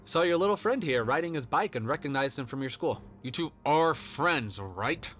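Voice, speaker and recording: A man voicing an irritable suburban father in story dialogue, brisk and impatient under a forced friendliness. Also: fairly high pitch, animated, a bit bright, faint music behind, pitched above the performer's own voice. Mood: pushy cheer.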